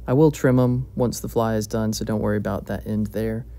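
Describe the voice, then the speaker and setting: Calm, low-key man explaining a craft. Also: brisk, gravelly. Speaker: fly-tying instructor. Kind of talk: step-by-step tutorial narration. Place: home fly-tying bench.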